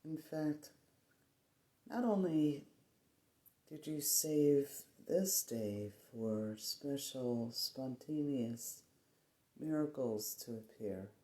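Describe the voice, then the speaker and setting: A meditation guide speaking soothingly in short, measured phrases with long pauses, offering spiritual affirmations. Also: medium pitch, lively intonation, dark tone. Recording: roomy echo, faint background noise.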